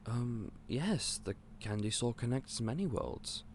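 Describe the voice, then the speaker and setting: Eccentric, excitable candy shop keeper, voiced by a man. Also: mid-range voice, slow, a little hiss or hum. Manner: gentle, polite, excitable and a little scatterbrained. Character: eccentric otherworldly male candy store owner. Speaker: audio roleplay performer. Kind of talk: scripted audio roleplay to the listener.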